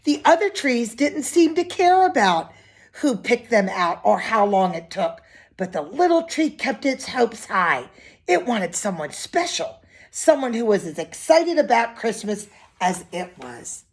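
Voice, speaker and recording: A woman's voice: on the high side, very animated, unhurried, breathy edge. A woman reading a picture book aloud expressively to young children, with simple asides and questions to her listeners.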